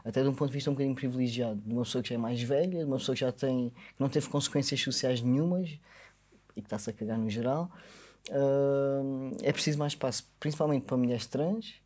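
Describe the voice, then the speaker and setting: Casting: mid-pitched Portuguese guest, thoughtful and measured, quick to laugh, studio mic. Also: male voice, darkish tone. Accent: European Portuguese. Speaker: podcast guest. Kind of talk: casual podcast conversation. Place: small podcast studio with foam panels. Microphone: boom-arm studio mic.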